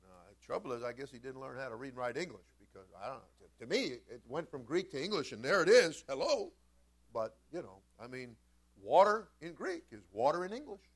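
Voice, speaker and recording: A man preaching a sermon as a pastor, folksy and informal, moving between conversational storytelling and emphatic, animated delivery. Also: mid-range voice, fairly quick. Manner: animated, swinging from quiet conversational asides to loud emphatic shouts. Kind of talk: Pentecostal-style sermon with storytelling.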